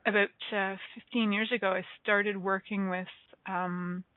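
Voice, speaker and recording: A woman's voice: mid-range voice, flat delivery, measured pace, dry recording. Forties woman guest, chatty rambly storyteller, laughs a lot, dry tinny phone sound. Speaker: psychic reader, guest. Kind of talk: casual interview chat.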